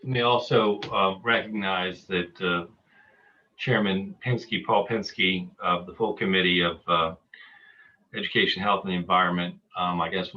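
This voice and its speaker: Deep-voiced committee chair, far from mic. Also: male voice, lo-fi sound. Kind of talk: presiding over a hearing. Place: hearing room.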